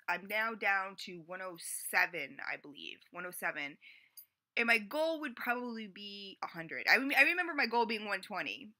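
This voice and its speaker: A woman's voice: medium pitch, sharp tone. A woman in her late forties talking in a rambling, chatty confessional way, full of fillers and restarts. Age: 40s. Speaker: vlogger. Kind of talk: casual confessional vlog.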